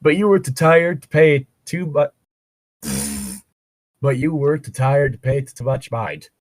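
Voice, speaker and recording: A man's voice: a little high, a little slow, dry recording. A man reading a story aloud as a co-host, slipping into casual, sarcastic, mock-exasperated asides. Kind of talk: read-aloud narration with casual joking commentary.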